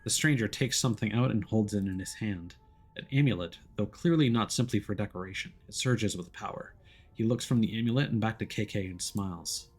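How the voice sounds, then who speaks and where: A man's voice: fairly low pitch, brisk, slightly rough. A man streaming a game, narrating its story in a dramatic, theatrical manner.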